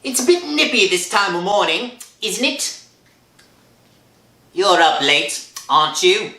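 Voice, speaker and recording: A chatty night-beat policeman , acted by a woman in an old radio thriller. The voice is sharp and lively in pitch, drawn-out and inquisitive, with an echo around it. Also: heavily acted.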